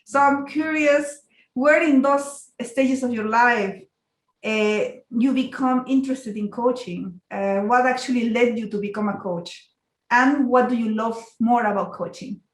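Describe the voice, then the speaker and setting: A woman's voice: fairly high pitch, measured pace, reverberant, poor audio. A woman hosting an interview, asking her questions politely with many filler sounds. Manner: polite, lots of filler uhs.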